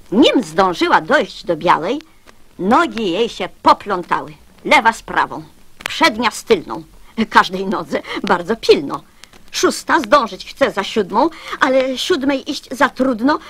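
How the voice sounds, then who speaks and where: A woman reciting children's verse as an expressive radio storyteller. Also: on the high side, husky.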